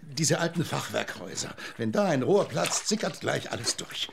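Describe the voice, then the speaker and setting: An actor playing a gruff but kindly, blustery old grandpa in a children's audio drama. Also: male voice, mid-range voice, animated, moderate pace, background noise. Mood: worried, kindly.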